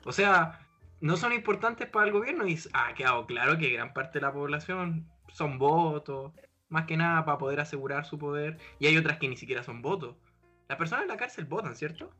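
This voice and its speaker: A man's voice: on the high side, animated, brisk, bright, forceful tone, faint music behind. A man with a Chilean accent talking as a panelist in a casual group discussion. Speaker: podcast panelist.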